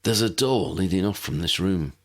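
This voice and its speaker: Male reader as loyal male friend, decisive, hushed, gravelly, practical, storybook character. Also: deep voice, lively intonation, normal speed. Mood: hushed, resolute.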